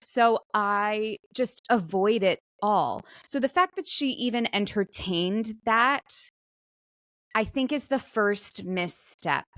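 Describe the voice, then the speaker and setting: A woman's voice: mid-range voice, lively intonation, takes it slowly, a bit bright, dry recording. A woman co-hosting a podcast in casual comedic chat, frank and wry in a conversational way. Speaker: podcast co-host.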